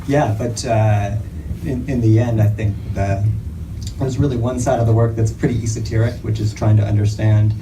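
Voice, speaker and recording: Low-voiced man, halting, distant mic. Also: fairly even intonation. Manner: halting, self-correcting, jokey asides. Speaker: insect researcher, guest. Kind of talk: casual interview answers. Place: comedy theatre stage. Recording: distant mic with loud hiss.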